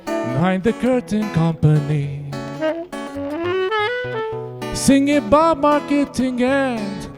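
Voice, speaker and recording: A woman sings an acoustic pop parody at an unhurried pace. Her voice sits in the middle range, with guitar playing loudly along with her. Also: fairly high pitch, lively intonation. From Jordan. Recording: loud guitar music over voice. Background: plucked guitar.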